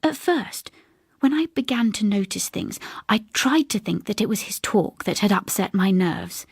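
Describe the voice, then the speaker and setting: A woman's voice: medium pitch, animated, normal speed, darkish tone, breathy edge, dry recording, clean studio sound. A woman voicing a reserved older English woman who recounts a haunting in a hesitant, confiding, precise way. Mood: haunted, fearful.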